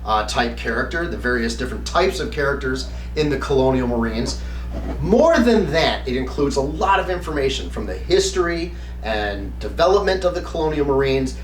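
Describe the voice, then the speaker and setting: A man's voice: mid-range voice, expressive, normal speed. A man presenting a conversational tabletop game review, explaining things and answering his own questions. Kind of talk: conversational product review to camera. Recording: roomy echo, distant mic, faint hum.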